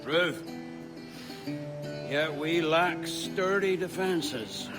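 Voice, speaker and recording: An actor playing a bold, proud pirate leader with theatrical, rolling oratory in a video game. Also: male voice, a little high, drawn-out words, loud music behind the voice. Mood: scheming, dismissive. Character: bold, proud pirate leader, rousing and gruff-humoured man. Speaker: pirate captain. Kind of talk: dramatic video game acting.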